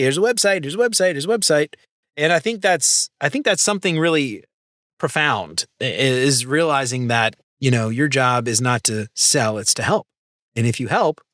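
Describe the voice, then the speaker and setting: A man's voice: fairly bright tone, no echo, clean studio sound. Enthusiastic male podcast host. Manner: enthusiastic, acts out quotes in raised pitch. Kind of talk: podcast interview.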